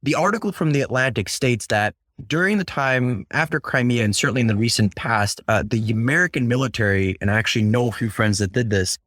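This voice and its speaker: A man's voice: mid-range voice, fairly quick, a bit bright, no room sound, clean studio sound. A Ukrainian-born man, a podcast guest, talking earnestly and often repeating words as he searches for the right phrasing. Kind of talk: conversational interview.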